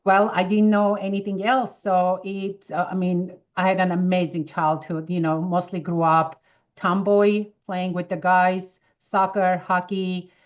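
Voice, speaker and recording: A woman in her fifties from Armenia, speaking English with an Armenian accent, telling lively stories as an interview guest. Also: fairly low pitch, a little slow, dull audio. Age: 50s. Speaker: wellness expert guest. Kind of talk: casual interview answers.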